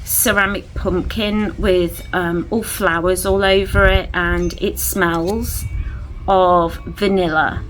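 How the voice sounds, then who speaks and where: Chatty woman showing off her haul at home, lowish voice, bit of hiss behind. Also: fairly even intonation, slow pace, a bit bright, rough recording. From England. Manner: gushing, enthusiastic, chatty. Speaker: vlogger. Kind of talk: casual haul chat to camera. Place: at home indoors.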